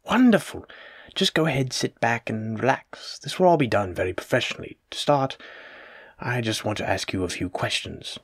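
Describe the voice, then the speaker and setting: A man's voice: mid-range voice, animated, normal speed, husky, no echo, studio quality. A man playing a courteous, evasive, self-important plague doctor in an audio roleplay, speaking formally and fussily in old-fashioned wording. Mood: eager. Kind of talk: hobby audio roleplay acting, addressed to the listener.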